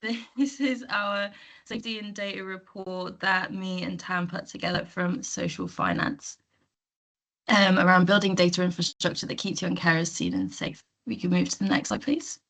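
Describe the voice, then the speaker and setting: A woman presenting slides in an online meeting, her voice croaky from illness, informal with hesitant fillers. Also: on the low side, moderate pace, a bit bright. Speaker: guest presenter.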